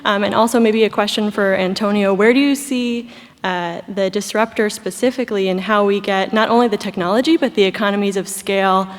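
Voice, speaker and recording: A woman's voice: medium pitch, steady tone, brisk. A woman in the audience putting a question to the panel at a live event. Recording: reverberant conference hall.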